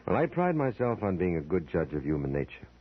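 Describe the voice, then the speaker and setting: Casting: measured, suspicious crime boss, very low husky voice, vintage radio drama. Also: male voice, very animated, heavily acted. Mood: coolly menacing. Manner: measured, coolly probing. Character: suspicious, quietly menacing male gangster boss. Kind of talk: old-time radio drama acting. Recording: tinny old radio recording.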